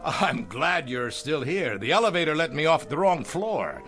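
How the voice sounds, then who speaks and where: An actor playing a ruthless, boastful older tycoon in an audio drama, with a theatrical, sardonic manner. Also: male voice, a little high, very animated, moderate pace, fairly bright tone, faint music behind. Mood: alarmed. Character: ruthless aging male tycoon, boastful, hungry, nostalgic for hometown.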